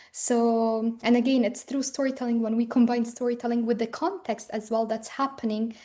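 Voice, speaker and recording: A young woman with a mellow, slightly high voice, speaking earnestly as a webinar guest. She talks reflectively and often leans on "like" as a filler. The sound comes through a video call. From Palestinian and Ukrainian background. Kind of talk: webinar interview answers.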